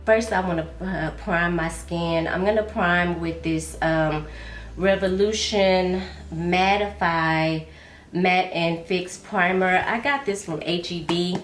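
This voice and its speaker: A woman's voice: fairly low pitch, steady tone, slow pace. A woman vlogger chatting and confiding as she does her makeup at home, calling viewers baby and girl, sounding a little congested and nasal. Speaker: beauty and fragrance vlogger. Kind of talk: casual get-ready-with-me chat. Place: room at home, doing makeup. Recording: echoey room with faint music.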